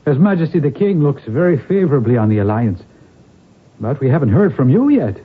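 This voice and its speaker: A man's voice: medium pitch, big pitch swings, a little fast, breathy edge. An actor playing a fond, courtly Irish nobleman father in a 1970s radio drama. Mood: fond, reassuring. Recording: muffled 1970s radio broadcast.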